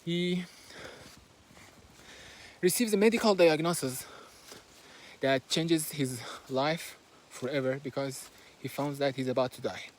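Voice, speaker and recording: Man, Persian accent, slightly high voice, halting with lots of fillers, walking outdoors, faint outdoor hiss. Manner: hesitant, with many fillers and restarts. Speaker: book reviewer. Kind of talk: casual book summary while walking. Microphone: handheld phone, no fancy equipment.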